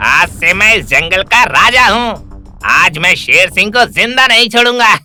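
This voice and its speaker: A performer voicing a menacing, boastful giant rat tyrant in an animated story, making slow villainous threats. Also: medium pitch, close to an everyday voice. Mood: murderous. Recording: music under the voice.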